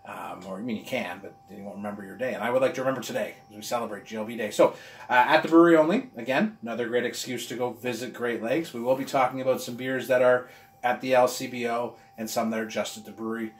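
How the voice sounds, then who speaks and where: A man's voice: medium pitch, steady tone, fast. Enthusiastic man in his forties, casual reviewer, recorded in a roomy, echoey space. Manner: enthusiastic, chatty, talks with his hands. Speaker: beer reviewer. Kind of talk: casual beer review vlog. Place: room with walls of beer bottles, indoors.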